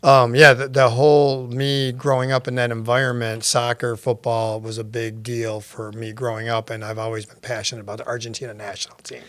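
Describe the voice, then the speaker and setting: A sixty-year-old man from the United States, Spanish his first language, telling his story in a chatty, lively way as a podcast guest. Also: mid-range voice, fairly quick, hardly any pauses, no echo. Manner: lively, chatty, laughs often. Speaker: guest, nonprofit founder. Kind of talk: casual interview storytelling.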